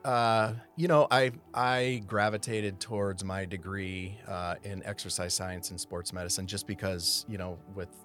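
A man in his forties from Massachusetts, a city services manager giving an unhurried, detailed interview with frequent pauses. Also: sits low, steady tone, a bit bright, soft background music. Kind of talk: casual interview conversation.

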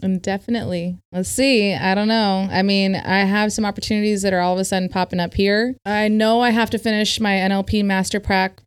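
A woman on a podcast as a guest, explaining breathwork calmly in casual conversation, with easy laughter. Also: mid-range voice, brisk, few pauses, smooth. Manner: calm, explanatory, laughs easily.

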